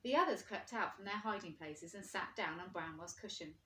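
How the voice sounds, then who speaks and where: A woman's voice: mid-range voice, fairly quick, a bit bright, slight background noise. A woman reading a storybook aloud to young children like a teacher, expressively and with questions for her listeners. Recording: roomy, echoing indoor sound.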